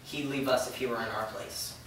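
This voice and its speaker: A man's voice: mid-range voice, fairly even intonation, fairly quick, a bit bright, natural voice. A man playing an impatient, pragmatic young man in a staged reading of a drama. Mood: impatient, curt. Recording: echoey room, distant mic, faint noise.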